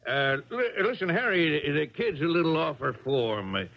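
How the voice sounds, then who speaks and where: A blustering, excitable sports dad. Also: male voice, on the high side, moderate pace. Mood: bossy, agitated. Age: middle-aged. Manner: brusque, streetwise, blustering. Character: gruff, bossy, blustering father and tennis manager. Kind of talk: 1970s radio drama acting. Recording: muffled old radio broadcast.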